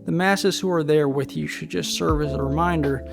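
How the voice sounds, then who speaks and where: A man giving a steady, informative countdown in scripted travel narration. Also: fairly high pitch, moderate pace, creaky. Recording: voice-over with guitar music behind.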